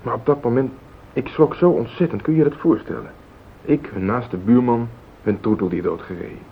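An actor playing a rattled, evasive husband in a radio play, agitated and pleading. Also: male voice, fairly quick, lightly acted. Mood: remorseful, pleading. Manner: agitated, pleading, self-justifying. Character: rattled, evasive husband hiding a car accident. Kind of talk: Dutch radio play acting. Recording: muffled phone-like old radio recording, rumbling hiss.

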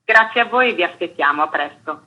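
A woman's voice: lowish voice, lively intonation, brisk, lo-fi sound. A woman being interviewed on the radio as an artistic director, explaining a programme in detail.

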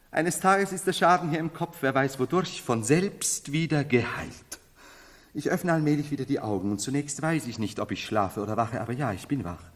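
A man's voice: medium pitch, fairly bright tone, in a large hall, natural voice. An actor in a 1970s radio play as a volatile man long thought mad who plays an emperor, commanding and theatrical. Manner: theatrical, commanding, swinging from brooding to outbursts. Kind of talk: 1970s German-language radio play acting.

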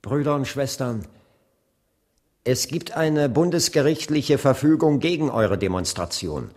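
An actor playing a solemn Baptist preacher and civil rights leader in a German radio play, speaking in grave, measured oratory. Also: male voice, mid-range voice, animated, a little mellow, close to an everyday voice. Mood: grave, resigned. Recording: long reverb of a large hall.

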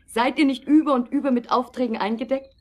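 An actress playing the proud, confident wife of an inventor in a German audio drama, speaking with assurance. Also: female voice, high-pitched, fairly even intonation, brisk. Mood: proud, reminiscing.

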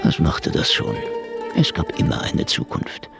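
An actor playing a cynical private detective in a noir radio play, sardonic, tough-talking and menacing. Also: male voice, measured pace, lightly acted. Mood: wry, resigned. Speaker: lead actor and narrator. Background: music.

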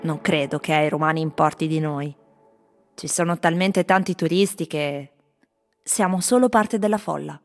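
An actress voicing foreign tourists who candidly give their impressions of Rome in plain statements, as scripted radio theatre. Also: female voice, deep voice, normal speed. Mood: candid, complaining. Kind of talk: scripted radio theatre monologue of interview answers. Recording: music bed under voice.

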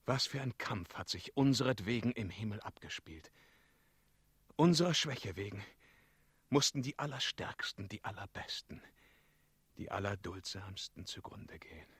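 Dying heroic knight, low, slow, husky, sorrowful, idealistic, theatrical radio drama delivery. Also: male voice, fairly bright tone, no echo, heavily acted. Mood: anguished. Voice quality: husky, breathy, gravelly. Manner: swings between halting whispers and impassioned declamation. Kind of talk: 1960s German radio play acting, dramatic monologue.

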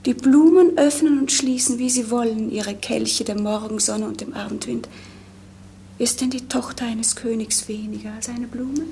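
An actress playing a melancholy, dreamy young princess in a 1950s German radio play, with lyrical, pensive delivery. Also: female voice, fairly high pitch, moderate pace, vocal fry, dark tone. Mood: anguished, pleading. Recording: noisy 1950s radio recording.

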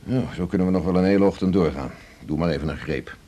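An actor in a 1980s Dutch radio drama playing a blunt, teasing middle-aged senior doctor, brusque and bossy. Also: male voice, low-pitched, quick pace, mellow, breathy edge. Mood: blunt, wry.

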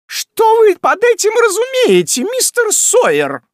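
A man voicing a furious middle-aged landlady with a shrill, screeching voice. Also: very animated, moderate pace, no room sound, studio quality. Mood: furious shrieking.

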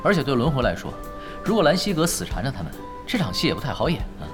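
A man plays a shrewd young veteran gamer in a radio drama. He is easygoing and mock-modest, with a mid-range voice that moves animatedly through dry teasing, set over clear background music. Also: medium pitch, big pitch swings, fast talker, close to an everyday voice. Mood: explaining. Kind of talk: Chinese radio drama acting.

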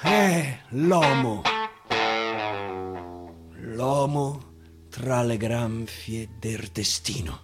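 A man's voice: medium pitch, very slow, long pauses. Man reciting dialect verse over guitar. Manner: repetitive, musing, emphatic phrasing. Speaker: actor. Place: radio studio. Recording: guitar accompaniment throughout.

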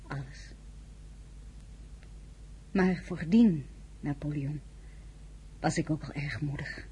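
A brave young Frenchwoman in a radio drama, spoken with a Dutch accent. Her voice is extremely breathy and husky, earnest and anxious yet stubborn. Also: sits low, slow pace, warm tone, heavily acted. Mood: modest, earnest. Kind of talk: radio play acting.

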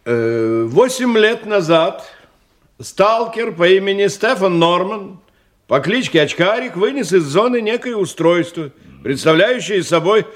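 A man's voice: sits high, expressive, measured pace. An actor playing a testy man in his fifties who reports formally to his superior in a radio drama, with irritable muttered asides. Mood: dutiful, pedantic. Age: 50s. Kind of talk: Russian radio drama acting.

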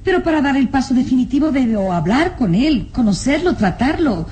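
Plaintive, mellow young noblewoman. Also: high-pitched, very animated, brisk, a little hiss or hum. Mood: protesting. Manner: plaintive, melodramatic. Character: defiant young aristocratic daughter, pleading for freedom. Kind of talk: old-time Spanish-language radio drama acting.

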